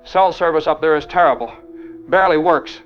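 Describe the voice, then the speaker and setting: A man's voice: a little high, brisk, faint music behind, natural voice. Shaken foreman played by a man, dull phone line. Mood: shaken, grave. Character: shaken construction foreman, male survivor of a massacre. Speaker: interviewed survivor. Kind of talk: audio drama acting, news phone-in.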